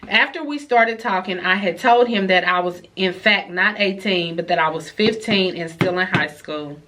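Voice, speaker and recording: A young woman talks through a stuffy, nasal head cold in a relaxed advice vlog. She reads viewer letters aloud and breaks in with chatty reactions. Her pitch sits in the middle for a woman. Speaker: vlogger giving advice.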